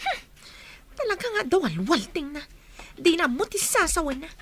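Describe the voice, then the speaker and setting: An actress playing a sharp-tongued, complaining woman in an Ilocano radio drama. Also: very high voice, sing-song, normal speed, background noise. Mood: irritated.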